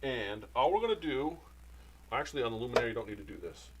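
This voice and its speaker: A man's voice: medium pitch, expressive, fast, background noise. A man teaching sewing machine maintenance in a casual, jokey demo, often wandering off topic. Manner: rambling, jokey, easily sidetracked.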